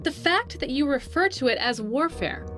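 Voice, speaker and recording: An actress playing a smug, ruthless corporate rival in an audio drama, speaking in a taunting, deliberate, theatrical way. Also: female voice, sits high, animated. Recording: music behind the voice. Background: dramatic music.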